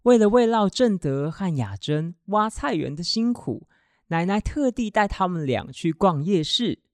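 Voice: medium pitch, very animated, measured pace, dry recording, clean studio sound. A narrator reading scripted storytelling for a children's radio drama.

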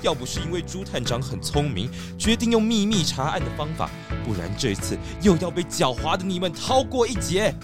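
A man's voice: a little high, very animated, fairly quick, hardly any pauses, music in the background. A man voicing an earnest village leader in a children's radio drama, a little sheepish at times. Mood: accusing.

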